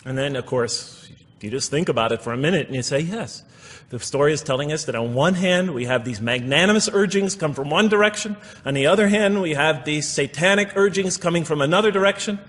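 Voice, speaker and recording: A man's voice: a little high, a little fast, fairly bright tone. Man lecturing in a reverberant hall. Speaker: lecturer on religion. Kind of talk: public religious lecture, reading verses and commenting. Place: lecture hall before an audience. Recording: echoey hall with long reverb.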